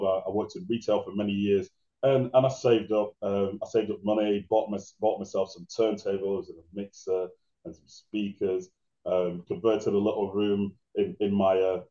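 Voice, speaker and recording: A man with a deep voice telling stories about his DJ career in a casual interview, rambling with many restarts. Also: dark tone. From England. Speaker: guest DJ. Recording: roomy, dull lo-fi sound.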